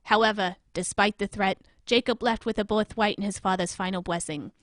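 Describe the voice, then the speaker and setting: Earnest female anchor , acted by a woman, medium pitch, measured dramatic delivery for a newsmagazine parody. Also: fairly even intonation, a bit bright, no echo, clean studio sound, lightly acted. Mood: grave, intrigued. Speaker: host. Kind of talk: scripted newsmagazine narration in audio drama.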